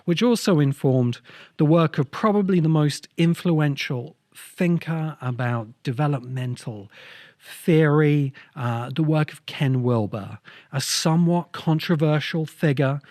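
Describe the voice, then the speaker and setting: A man hosting a talk on ideas, speaking in an essay-like, explanatory style with measured, deliberate phrasing in short bursts. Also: fairly high pitch, darkish tone, dry recording, studio quality. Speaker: host of a science fiction ideas show.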